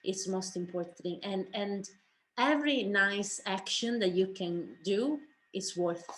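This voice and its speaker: A woman speaking earnestly and haltingly in a personal reflection for an online panel. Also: mid-range voice, fairly bright tone, poor audio. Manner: earnest, halting, searching for words.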